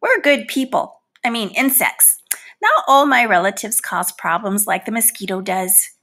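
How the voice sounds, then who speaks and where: A woman's voice: mid-range voice, animated, normal speed, pushed and bright. A woman voicing a proud, easily hurt housefly in a storybook, with theatrical, pleading and boastful delivery. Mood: proud, explaining. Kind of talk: storybook read-aloud in character.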